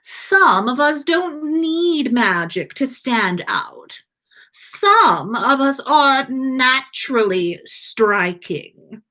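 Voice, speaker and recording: A woman's voice: high voice, expressive, extremely slow, sharp tone, voice pushed up, full character voice. Lady doing a snobby irritable young wizard guy in a story, drawls it out, very up and down. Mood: scornful, smug. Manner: snooty, scornful, exasperated. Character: haughty, irritable young wizard man, easily flustered.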